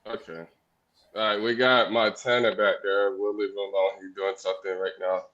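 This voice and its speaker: Man co-host, halting, on dull lo-fi audio. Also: lowish voice, flat delivery, a bit bright. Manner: halting questions with many fillers. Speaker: podcast co-host. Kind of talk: casual interview.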